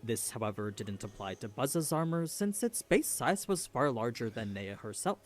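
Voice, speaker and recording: A man's voice: mid-range voice, very animated, moderate pace, soft background music. A man reading scripted narration for an explainer video.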